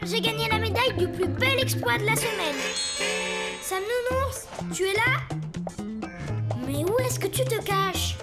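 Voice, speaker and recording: Small cartoon hero boy, extremely high voice, plucky, earnest, childlike, expressive. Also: a little slow, pauses often, loud music behind the voice. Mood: searching, puzzled. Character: plucky, earnest little boy cosmic superhero.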